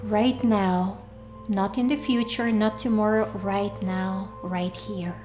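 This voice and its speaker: A woman leading a guided meditation in a soothing, deliberate way, leaving pauses between short phrases. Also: medium pitch, fairly even intonation. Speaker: meditation guide, workshop leader. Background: soft background music.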